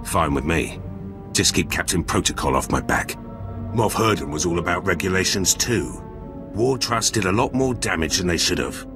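An actor playing a stubborn, confident demolitions soldier in a video game, talking in curt, blunt phrases. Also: male voice, sits low, animated, normal speed, music in the background. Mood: defiant, dry. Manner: curt, gruff-mannered, blunt.

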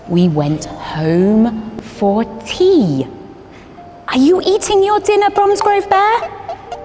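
A middle-aged woman reading a picture book aloud to young children, in a sing-song, animated way with dramatic gasps, seated in a studio. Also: a little high, big-room sound. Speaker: early years teacher reading a picture book. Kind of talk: children's story time read-aloud. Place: studio with a green-screen backdrop, seated on a sofa.

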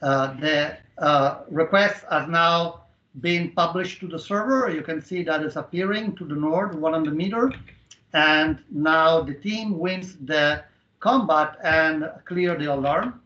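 A middle-aged man explaining a software demo step by step with halting, non-native phrasing, over a video-call headset. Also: on the high side. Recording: dull, roomy online call audio.

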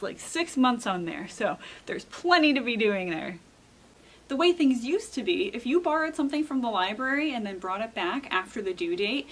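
A woman in her thirties presenting a library tour as a children's librarian, enthusiastic and emphatic. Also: on the high side. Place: indoors in a public library.

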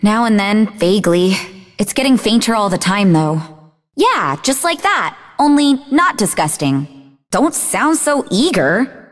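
An actress voicing a sarcastic, sharp-tongued, bookish young half-demon woman in scripted video game dialogue, with a dry delivery. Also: mid-range voice, very animated, measured pace, long reverb tail. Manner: dry, sardonic.

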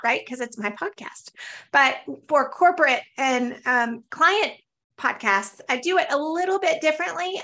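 A woman in her forties with a high, scratchy voice hosts a conversation, candid and lively. Her tone is bright and edged, carried over a webcam on a video call. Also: creaky. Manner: lively, candid, laughs easily. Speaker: podcast host. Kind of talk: conversational interview.

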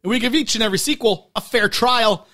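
High, bright-voiced male podcast host. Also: fast talker. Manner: animated, laughs often. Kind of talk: casual chat.

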